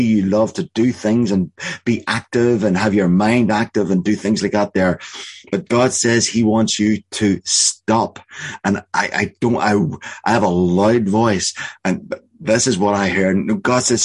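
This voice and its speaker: Guy preaching, kinda raspy low voice, earnest, lots of stop-start bits. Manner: earnest, halting with repeated restarts; slow, emphatic delivery of spoken prophecy. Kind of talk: sermon with prophetic words.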